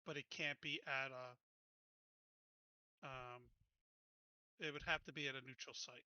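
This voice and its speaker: A man chatting casually as a tabletop roleplaying player, hesitant and thinking out loud. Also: medium pitch, fairly even intonation, unhurried, clear, resonant tone, no room sound, lo-fi sound. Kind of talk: casual game chat.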